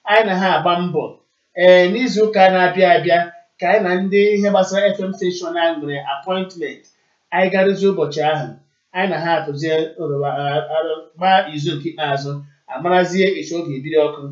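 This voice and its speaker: Male Igbo Nigerian talk host, high voice, formal announcing delivery, heard through a distant, muffled stream. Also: fairly even intonation, fast. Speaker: radio call-in show host. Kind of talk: live broadcast announcing and answering callers. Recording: echoey, distant, muffled internet radio stream.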